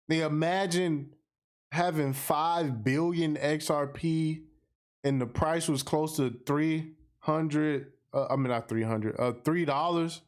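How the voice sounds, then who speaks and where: An American man hosting a casual livestream podcast, speaking in a slangy, emphatic way and repeating phrases for effect. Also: a little high. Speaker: podcast host. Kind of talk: casual livestream monologue, responding to viewer comments.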